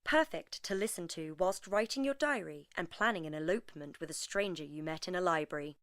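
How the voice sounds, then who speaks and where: A woman's voice: on the low side, expressive, brisk, a bit bright, no room sound, studio quality. A woman announcer reading scripted closing credits for an audio drama podcast.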